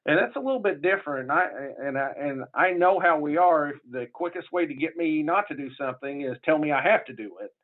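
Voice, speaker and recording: A man with a southern Illinois accent presents at a conference in a folksy, rambling way, often stopping to restart his sentences. His voice sits a little high. The sound is thin and tinny, like a phone line or video call. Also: lively intonation, quick pace, few pauses. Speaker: public health association presenter.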